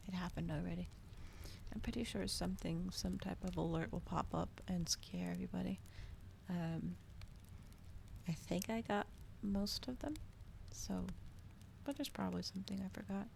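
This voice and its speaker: A woman speaks softly and gently in a slightly low, creaky voice that drifts toward whispering. She hosts a casual ASMR livestream, talking a little hesitantly to her viewers. A fireplace can be heard as background noise behind her. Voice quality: soft, gentle voice, often slipping into whisper. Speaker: streamer hosting a live reading. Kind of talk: soft-spoken ASMR livestream chat. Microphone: regular microphone, not ASMR-specific. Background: crackling fireplace ambience.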